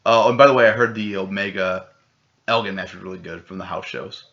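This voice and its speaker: Excitable, swearing podcast co-host. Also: male voice, low-pitched, a little fast. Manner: rambling, swears a lot, excitable. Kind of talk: casual chat. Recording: dull lo-fi podcast audio.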